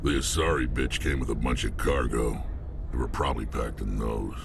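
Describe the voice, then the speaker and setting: Very deep gravelly alien warrior. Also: male voice, normal speed, noisy background. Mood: scornful. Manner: blunt, mocking. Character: gruff, blunt, menacing big male alien warrior. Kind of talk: scripted video game acting.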